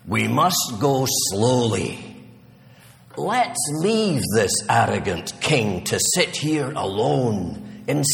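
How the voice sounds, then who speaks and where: Very slow, ancient turtle voiced by a man. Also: mid-range voice, gravelly, pitched above the performer's own voice, heavily acted. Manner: slow, deliberate, solemn. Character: ancient, wise, slow, patient river turtle. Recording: long hall reverb.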